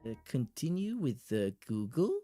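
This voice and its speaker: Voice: medium pitch, big pitch swings, unhurried, mellow, faint music behind. A livestream host chatting casually and reacting in a blunt, heavily profane way.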